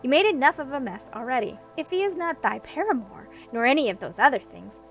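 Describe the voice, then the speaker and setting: A woman voicing a sharp-witted, impatient young princess in a storybook, brisk, dry and exasperated. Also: high-pitched, very animated, recorded from a distance, soft background music. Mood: defiant.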